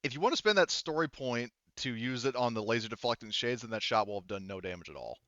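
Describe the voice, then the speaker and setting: A man's voice: medium pitch, animated, quick pace, sharp tone, no echo, muffled recording. A man acting as game master for a tabletop game, talking casually with swearing and explaining the rules.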